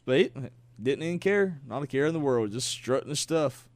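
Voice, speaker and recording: A man's voice: medium pitch, no echo. A man from south Georgia with a Southern accent, co-hosting a casual talk show and exclaiming as he teases his co-host. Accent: Southern American. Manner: animated, teasing, quick to exclaim. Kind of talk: casual chat.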